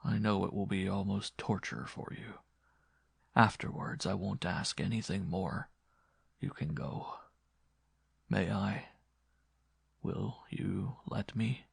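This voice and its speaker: A man's voice: on the low side, expressive, no room sound, studio quality, exaggerated voice. Man acting a whispering, vengeful disfigured lover. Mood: pleading. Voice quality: breathy, hushed, often whispering. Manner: slow, quiet, pleading turning cruel. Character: disfigured blind man, bitter, menacing, vengeful former lover.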